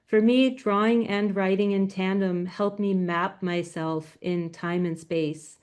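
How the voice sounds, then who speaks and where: A soft-spoken woman gives a presentation as a guest speaker. Her voice is quiet but smooth and clear, at a middle pitch for a woman. It carries the slight thinness of a video call. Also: fairly even intonation, takes it slowly. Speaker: guest speaker, graphic novelist.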